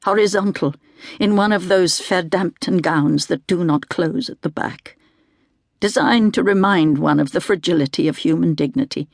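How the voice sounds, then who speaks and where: A woman narrates a literary audiobook in the first person. Her voice has a gravelly creak and is recorded close and dry. The delivery is measured and deliberate. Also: fairly low pitch, no room sound.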